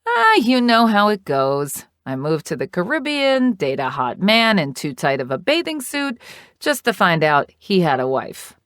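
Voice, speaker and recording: A woman voicing a brash, sassy woman back from abroad, speaking brightly and boldly. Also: lowish voice, sing-song, measured pace, no echo, studio quality, pitched above the performer's own voice. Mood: brassy.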